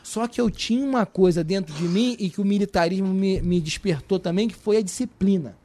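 Brazilian man, fairly high voice, Brazilian Portuguese accent, casual animated storytelling, colloquial, repeats himself. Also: a little fast, a little hiss or hum. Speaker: guest telling his story.

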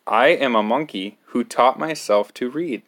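A man voicing a silly robot monkey in a children's storybook, with exaggerated, drawn-out nonsense sounds. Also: mid-range voice, fairly bright tone, natural voice. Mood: silly, boastful. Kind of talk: playful children's picture-book reading.

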